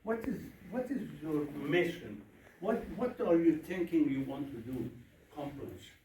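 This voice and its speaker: Persian-accented man, a minister giving a slow workshop talk in broken English, recorded from afar in an echoey room. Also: a little high, sing-song, mellow. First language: Farsi. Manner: broken English, repeats questions to the audience. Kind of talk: workshop talk with audience questions. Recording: echoey room from a distance, faint noise.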